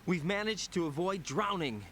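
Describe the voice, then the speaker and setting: A calm young male operative from a video game. He speaks quietly and evenly, and sounds reassuring. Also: high-pitched, normal speed, a little hiss or hum.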